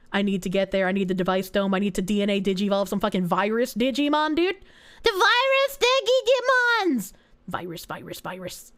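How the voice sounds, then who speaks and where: A woman with a Boston accent streaming and chatting casually with her viewers, swearing freely and laughing a lot, while she is getting over a cold. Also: sits high. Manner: laughs often, swears freely, rambles in tangents. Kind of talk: casual chat, reading and answering viewer chat.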